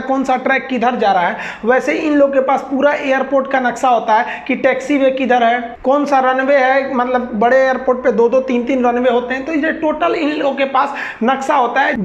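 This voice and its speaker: A woman with a Bihari accent giving an informal explainer lecture, colloquial and repeating points with everyday examples. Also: sits high. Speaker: teacher. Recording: echoey, dull lo-fi audio.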